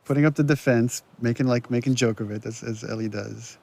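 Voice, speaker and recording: A man's voice: mid-range voice, fairly even intonation, fairly quick, creaky, darkish tone. A man giving casual director's commentary over game cutscenes, speaking as a game writer and director.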